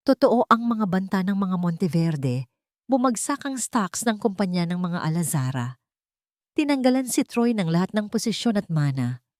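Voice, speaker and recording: A woman narrates a romantic story with very lively, swooping intonation. Her voice sits on the low side, and the delivery is dramatic and sentimental. The sound is dry and close, with little room in it. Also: no room sound.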